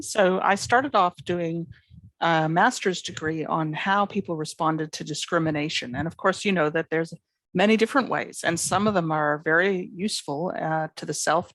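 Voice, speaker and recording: A woman's voice: lowish voice, no echo. A middle-aged woman with a Canadian accent, a psychology professor answering interview questions thoughtfully and fluently. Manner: thoughtful, fluent academic explaining with many examples.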